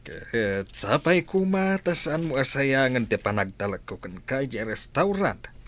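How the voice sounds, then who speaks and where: An actor playing a genial, confident restaurant boss with connections in an Ilocano radio drama. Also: male voice, medium pitch, very animated, unhurried, fairly bright tone. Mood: assuring.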